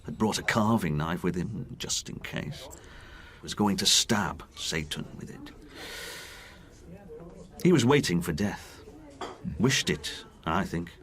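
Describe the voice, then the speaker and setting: A low-voiced working man in a radio drama, candid and haunted by a friend's ruin. His pitch moves around a great deal as he talks. He is plain-spoken and halting, feeling his way through the memories. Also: sing-song, full character voice. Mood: sombre, regretful.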